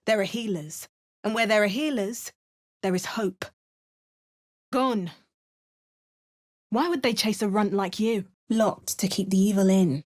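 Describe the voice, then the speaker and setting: A woman's voice: mid-range voice, close to an everyday voice. An actress voicing a female fantasy adventurer hero in game voice lines, with a put-on Cockney accent and a dry, muttering way of commenting. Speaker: player character.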